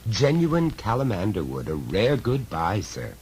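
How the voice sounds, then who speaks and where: A man's voice: fairly low pitch, huge pitch swings, unhurried, darkish tone, pitched above the performer's own voice, exaggerated voice, low-quality recording. A man voicing an ingratiating second-hand dealer, courteous and salesmanlike, in a storybook reading on a vintage LP. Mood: ingratiating, eager. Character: ingratiating male second-hand dealer pushing his wares. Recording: vintage spoken-word LP with faint surface noise.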